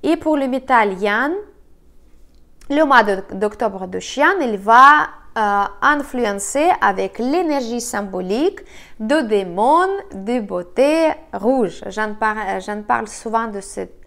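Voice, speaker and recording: Woman with a cold giving halting advice. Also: on the high side, lively intonation, creaky, a bit bright. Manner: halting, frequent fillers and self-corrections. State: slightly congested from a cold. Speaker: feng shui and BaZi astrology consultant. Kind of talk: monologue giving monthly astrology forecast.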